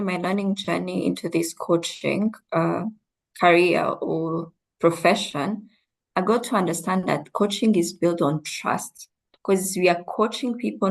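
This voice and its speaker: Rwandan-born woman coach, lowish voice, calm thoughtful explaining in an interview. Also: vocal fry, poor audio. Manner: measured, reflective explaining. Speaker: guest coach. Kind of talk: interview answers.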